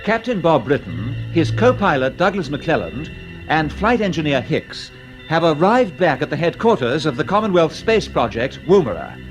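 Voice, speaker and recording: A 1950s radio serial announcer, recapping a space adventure with lively swings of pitch. His voice sits fairly high. Music plays behind him on an old radio recording. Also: normal speed. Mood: dramatic, earnest. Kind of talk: 1950s radio drama narration.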